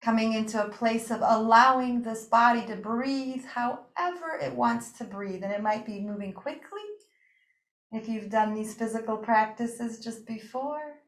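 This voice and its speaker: A woman teaching breathing and yoga nidra, guiding a relaxation practice with gentle, invitational phrasing and pauses. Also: a little high. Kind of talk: guided meditation and relaxation instruction. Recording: roomy, echoing room sound.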